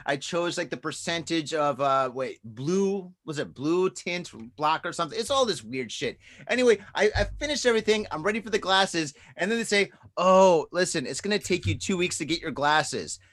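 A man's voice: on the high side, animated, moderate pace, hardly any pauses, fairly bright tone. A man in his forties telling a rambling, profane story in casual podcast chat, peppering it with "dude" and "man". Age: 40s. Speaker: podcast co-host.

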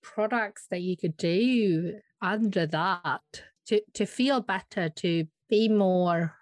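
A woman's voice: mid-range voice, slow, darkish tone, dry recording. A woman hosting a business interview podcast, chatty and affirming toward her guest. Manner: chatty, affirming, laughs lightly. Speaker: podcast host. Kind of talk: casual interview chat.

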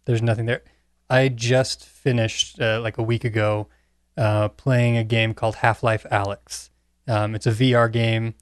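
A man with an average-pitched voice talks casually as a podcast panelist. He explains his thoughts in a measured, reflective way. The recording is dry and free of room sound. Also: little pitch movement, dry recording. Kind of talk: casual film and game discussion.

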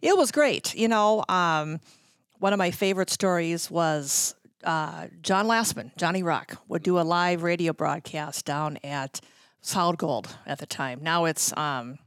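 A woman's voice: fairly low pitch, unhurried, smooth, no room sound, studio quality. A middle-aged American woman telling anecdotes casually as an interview guest, chattily acting out bits of dialogue as she goes. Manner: chatty, self-quoting, acts out dialogue. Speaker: interview guest, former radio promotions worker.

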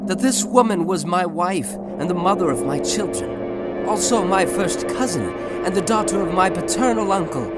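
An earnest young man full of remorse, played by a male actor, declaims in formal, old-fashioned style. Loud music runs under this audio drama voice. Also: high voice. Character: earnest, remorseful young husband confessing his guilt. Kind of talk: theatrical audio drama acting.

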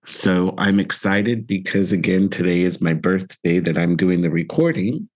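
A man in his fifties with an American accent, hosting a reflective solo podcast in a measured, earnest manner. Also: deep voice. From Central Valley, United States. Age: 50s. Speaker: podcast host.

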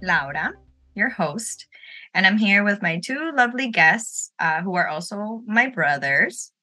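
A woman's voice: medium pitch, animated, unhurried, pushed and bright, soft background music. A woman in her late twenties hosting a casual roundtable podcast, talking informally and candidly with a playful streak. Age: late 20s. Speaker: podcast host.

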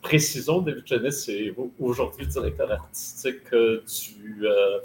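A man hosting a podcast, asking long questions in a halting way full of filler sounds. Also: medium pitch, darkish tone. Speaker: podcast host. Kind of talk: interview questions. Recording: roomy recording, faint noise.

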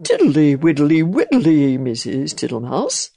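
A woman's voice: sits low, huge pitch swings, unhurried, warm tone, husky, no room sound, clean studio sound, full character voice. A woman doing a smug, sing-song old toad. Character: greedy, easygoing male toad with a lilting call.

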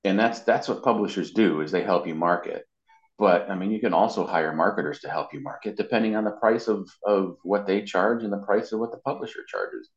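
A man with a low-pitched voice talks as an interview guest, explaining things in an informal, conversational way. The recording is dull and lo-fi, with a strong roomy echo around his words. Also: brisk. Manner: informal, explanatory, often checks in with "you know". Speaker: interview guest, marketing and web consultant. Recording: muffled lo-fi sound, roomy echo.

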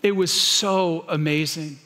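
A man's voice: on the high side, fairly even intonation, takes it slowly, sharp tone, long reverb tail. A man, the pastor, introducing a guest to his church congregation with moved, heartfelt storytelling. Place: church sanctuary.

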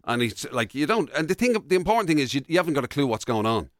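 Animated Irish radio host, higher-voiced man. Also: quick pace, no room sound, studio quality. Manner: chatty, colloquial banter. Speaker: radio breakfast show co-host. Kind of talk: casual comic chat, reading out listener messages. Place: radio studio, dry close sound.

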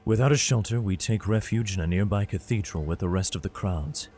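A man playing a weary father who narrates a science fiction audio drama in the first person, grave and measured. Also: on the low side, darkish tone, close to an everyday voice. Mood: weary, tense. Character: weary father fleeing with his son through a besieged hive city. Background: music.

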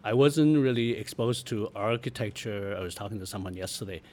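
A middle-aged man from Taiwan reminiscing casually in an interview, in a halting, self-correcting way. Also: on the low side, a little mellow. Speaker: interview guest, architect. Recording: noticeable background noise.

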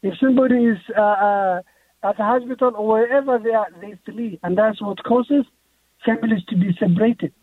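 A man's voice: high-pitched, moderate pace. Earnest man on a phone line. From Somalia. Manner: earnest, repeats and restarts phrases. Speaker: author guest. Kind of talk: radio interview.

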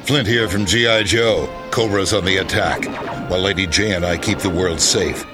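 A man's voice: sits low, steady tone, normal speed, close to an everyday voice. A man voicing a grown male cartoon soldier hero in a punchy announcer-style intro over music. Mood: upbeat.

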